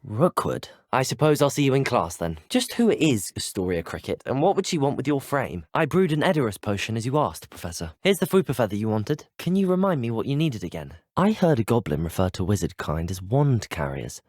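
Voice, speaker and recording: A man's voice: medium pitch, very animated, fairly quick, few pauses, a little mellow, dry recording, studio quality. A young man with a British accent voicing a polite, earnest, curious teenage wizard student in a video game, speaking in a courteous, well-spoken way. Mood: polite, matter-of-fact. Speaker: player character voice actor. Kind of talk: scripted video game voice lines.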